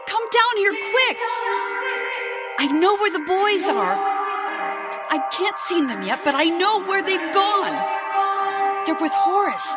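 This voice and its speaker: A woman playing a dreamy, tender wife and mother in an audio drama, speaking in halting, breathless exclamations as if seeing visions. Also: very high-pitched, exaggerated voice. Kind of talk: emotive audio drama acting. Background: loud music.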